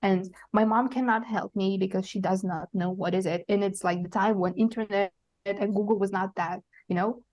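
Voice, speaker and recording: Young woman guest from Kazakhstan, warm mellow tone, rambling casual storytelling. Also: mid-range voice, steady tone, moderate pace, highs cut off. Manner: meandering, full of fillers and restarts. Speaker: podcast guest.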